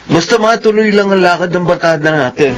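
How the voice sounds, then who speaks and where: A man's voice: sits high, fairly quick, lightly acted. A man with a Filipino accent playing an eager, cheeky coworker with a crush in a radio drama. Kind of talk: Tagalog radio drama acting.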